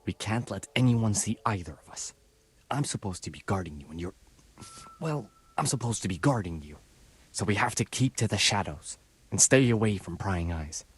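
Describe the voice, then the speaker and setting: An actor playing a soldier guard who quietly lays out a plan to help a prisoner escape, giving hushed, conspiratorial instructions in a game cutscene. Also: male voice, fairly low pitch, animated, moderate pace, slightly rough. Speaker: video game character.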